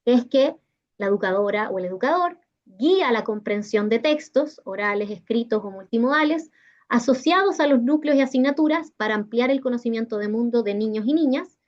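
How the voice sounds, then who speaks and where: A woman's voice: on the high side, expressive, normal speed, darkish tone. A woman with a Chilean accent explaining material didactically as a workshop presenter, often checking in with short tag questions, over a video call. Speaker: teacher-training workshop presenter. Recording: dull, lo-fi video call audio.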